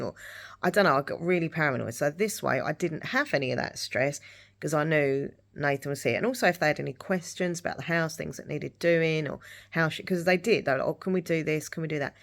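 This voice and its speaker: Husky, creaky woman rambling casually. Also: low-pitched. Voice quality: husky, dry throat. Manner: rambling, repeats herself. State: throat dry from hay fever, clearing it. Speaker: lifestyle vlogger. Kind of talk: casual rambling chat to camera.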